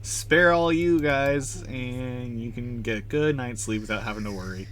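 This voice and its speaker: A man's voice: mid-range voice, measured pace, a bit bright, slight background noise. A man livestreaming a game, giving casual, chatty, joking commentary as he plays. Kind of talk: casual live commentary on gameplay.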